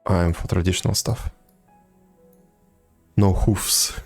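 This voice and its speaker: Low-voiced man chatting on a game stream. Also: fairly even intonation, takes it slowly. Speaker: video game livestreamer. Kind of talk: casual chat with viewers while gaming. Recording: game music behind the voice. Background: video game music.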